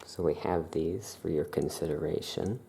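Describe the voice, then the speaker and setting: A soft-spoken ASMR performer talking in a hushed, unhurried way with long pauses, playing a calm witch shopkeeper showing wares. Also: a bass voice, creaky, a little hiss or hum.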